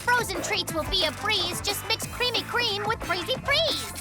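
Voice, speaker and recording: A performer voicing an excitable, boastful little brown bear cub in a children's cartoon. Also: very high-pitched, moderate pace. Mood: excited, boastful. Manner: exclamatory, thinking out loud, enthusiastic. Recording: music behind the voice. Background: children's cartoon music.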